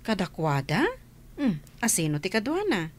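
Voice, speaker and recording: A kindly, helpful religious sister in a radio drama, with very lively swings in pitch. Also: female voice, normal speed.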